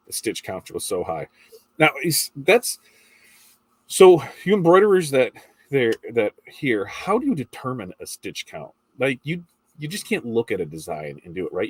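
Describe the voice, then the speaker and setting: Husky, congested man with a deep voice. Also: no echo. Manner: chatty, rambling, asks viewers questions. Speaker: livestream host reading viewer comments. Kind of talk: casual morning livestream chat.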